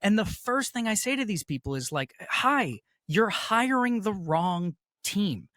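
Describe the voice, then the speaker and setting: A man's voice: sits high, animated, unhurried, pushed and bright, no room sound, studio quality. A man being interviewed, talking in an articulate, persuasive and emphatic conversational way. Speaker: interview guest, festival organizer and actual play producer.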